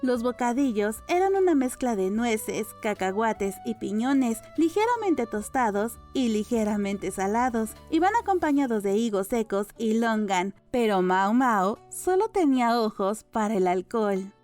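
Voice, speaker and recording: A woman's voice: fairly high pitch, very animated, measured pace, hardly any pauses, darkish tone, soft background music. A woman narrating a novel as a scripted audiobook.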